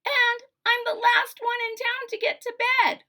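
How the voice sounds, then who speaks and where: A woman's voice: flat delivery, moderate pace, gravelly, pushed and bright, exaggerated voice. A woman voicing an overworked, fed-up young baker's daughter in a storybook, pleading and complaining. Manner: pleading, high-pitched complaining.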